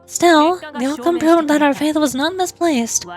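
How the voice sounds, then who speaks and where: A woman voicing a noble, solemn warrior queen, reading game dialogue in an earnest, declamatory and measured way. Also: sits high. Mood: proud, grateful. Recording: game music faintly behind.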